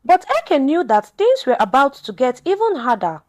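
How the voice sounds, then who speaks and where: Female storyteller, high register, very lively intonation, scripted dramatic folktale read. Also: moderate pace.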